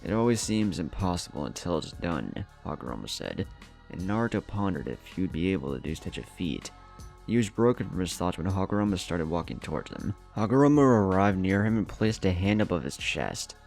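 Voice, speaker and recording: A man's voice: sits low, big pitch swings, fairly quick, creaky, a little mellow. A man reading scripted story narration as the narrator. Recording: music bed behind.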